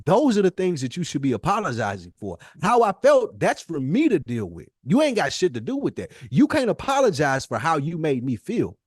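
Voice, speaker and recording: A man's voice: a little high, huge pitch swings, fairly quick, hardly any pauses, breathy edge, no echo, studio quality. A man co-hosting a podcast in casual debate, insistent and slangy, repeating his points for emphasis. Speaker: podcast co-host.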